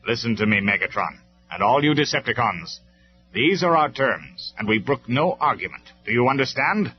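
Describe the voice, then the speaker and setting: A man voicing a stern, commanding male robot leader who speaks loud and measured, on a dull lo-fi recording with faint music. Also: medium pitch, big pitch swings, pitched above the performer's own voice, close to an everyday voice.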